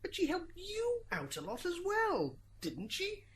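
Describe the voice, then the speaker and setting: A woman's voice: very high voice, wildly swooping pitch, a little slow, heavily acted. A woman acting a fussy, stammering defence lawyer in an amateur radio drama courtroom. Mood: probing. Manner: stammering, pointed courtroom questioning. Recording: hissy amateur recording, background noise.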